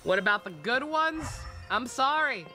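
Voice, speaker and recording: Streamer with a very animated delivery. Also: mid-range voice, a little slow. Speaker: video game streamer. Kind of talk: casual gameplay commentary. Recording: game music behind the voice. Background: video game music.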